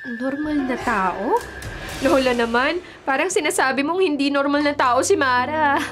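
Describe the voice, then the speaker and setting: A teenage schoolgirl in a radio drama, very high and youthful in voice. She sounds familiar and trusting, over soft music. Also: a little slow. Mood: worried disbelief. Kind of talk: Filipino radio drama acting. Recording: music bed underneath.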